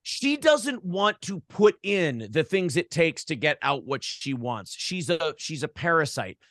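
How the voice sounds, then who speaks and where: An American man with a fairly high voice talks bluntly and casually in a podcast interview. He is opinionated and swears freely, like a seasoned radio host speaking off the cuff. The sound is dry and close. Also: big pitch swings, measured pace, fairly bright tone, dry recording. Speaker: morning show host and former radio broadcaster.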